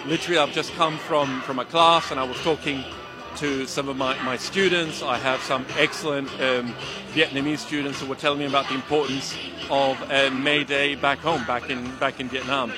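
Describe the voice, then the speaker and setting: A man from Venezuela with a Venezuelan accent, a university teacher being interviewed at an outdoor rally, talking hesitantly with many fillers. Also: medium pitch. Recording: music behind, outdoor rally. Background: music playing.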